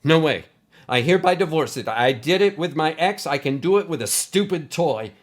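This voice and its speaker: A man's voice: fairly high pitch, expressive, normal speed, pitched above the performer's own voice. Man playing a stubborn, stammering reluctant hero. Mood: defiant. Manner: stammering, protesting. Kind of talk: audio story character acting.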